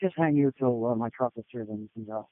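A male fire commander speaks over a two-way radio in a matter-of-fact way. His voice sits at a middle pitch, and the narrowband transmission is tinny and very dry. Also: fast, no echo. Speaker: fire chief in incident command. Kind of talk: emergency radio traffic, incident command.

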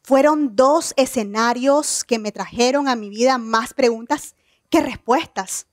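A woman in her thirties from Nicaragua giving an earnest, measured motivational talk on an outdoor evening stage. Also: a little high, no room sound, clean studio sound. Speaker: keynote speaker.

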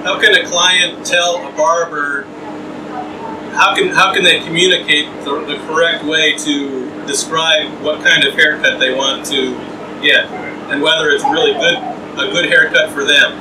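A man's voice: on the high side, fairly even intonation, unhurried. A middle-aged man hosting a casual interview while getting a haircut, recorded by a far camera mic in a small trailer with fan noise. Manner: chatty, asks questions while getting a haircut. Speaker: host. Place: small trailer interior, windy outdoor event. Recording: roomy far-off sound, fan and wind noise.

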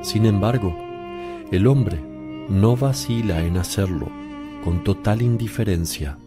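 An actor playing a grave, solemn male teacher who preaches deliberately in a radio drama. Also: lowish voice, over music. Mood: solemn, reproving.

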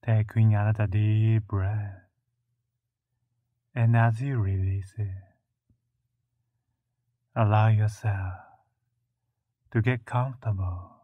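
A man leading a guided meditation in a soothing, measured way, with long pauses between short phrases and a narrow, muffled sound. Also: fairly low pitch, slightly rough, no room sound.

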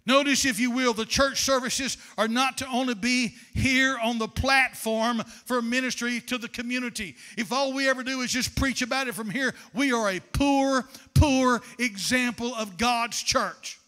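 An older man preaches from the pulpit, his voice very high and piercingly bright. He speaks fervently into a handheld microphone in a church sanctuary, warmly calling his listeners "honey" and building to shouted, rhythmic declarations. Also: normal speed, hardly any pauses, faint music behind. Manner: addresses congregation as honey. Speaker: pastor. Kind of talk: sermon.

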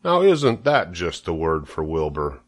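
A man voicing a cheerful, bustling older farmer with a hearty, brisk manner. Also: low voice, very animated, full character voice.

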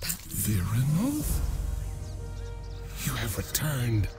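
A man playing a solemn, loyal dragon soldier in a video game, speaking earnestly and deliberately. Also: medium pitch, wildly swooping pitch, music in the background, exaggerated voice.

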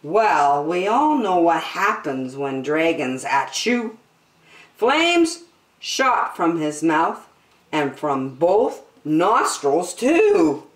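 In a roomy, echoing space, a middle-aged woman reads a rhyming storybook for children. Her voice sits a little low, and she goes very slowly, drawing words out with deliberate emphasis. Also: very animated. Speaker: children's story time host. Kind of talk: expressive read-aloud of a rhyming picture book for children. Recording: roomy indoor echo.

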